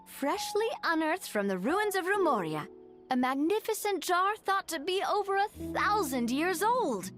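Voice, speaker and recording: A woman playing a lively young woman in a video game, eager and expressive in her delivery. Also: extremely high pitch, measured pace, soft background music, exaggerated voice. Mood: impressed. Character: lively, animated young woman sharing news.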